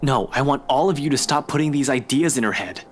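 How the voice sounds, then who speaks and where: A man's voice: mid-range voice, quick pace, slight background noise, natural voice. An actor playing a frustrated, guarded young man with a terse, exasperated manner in a visual novel game.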